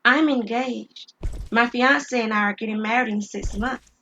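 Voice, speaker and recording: A woman's voice: on the high side, moderate pace, fairly bright tone, a little hiss or hum. A woman playing a nervous but bold store cashier, speaking cautiously in an audio drama. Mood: nervous, careful. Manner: cautious, questioning.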